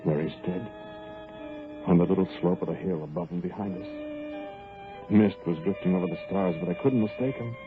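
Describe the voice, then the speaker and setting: An actor in a 1940s radio drama playing a hard-bitten male robber on the run, who also narrates in a gruff, clipped tough-guy manner. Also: low voice, a little fast, pauses often, over music, close to an everyday voice. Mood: grim narration. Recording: tinny lo-fi 1940s radio broadcast with faint hiss.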